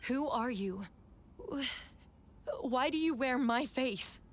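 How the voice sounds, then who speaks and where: Casting: haughty female impostor knight, high voice, indignant and theatrical, game drama, slightly noisy audio. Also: slow pace. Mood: suspicious, accusing. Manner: theatrical, pointed, accusatory delivery. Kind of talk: video game voice acting.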